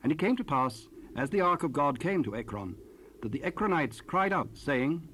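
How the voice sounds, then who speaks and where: A man's voice: a little high, lively intonation, moderate pace, a bit bright. A man narrating scripture in archaic English for a dramatized Bible recording. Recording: noisy raw cassette rip.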